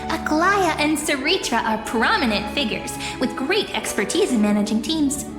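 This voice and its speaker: Cheerful young video game guide, animated swoops, encouraging manner, reverberant hall. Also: female voice, high voice, normal speed. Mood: proud, introducing. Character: cheerful young woman, eager gentle guide and organizer. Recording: reverberant hall, music behind.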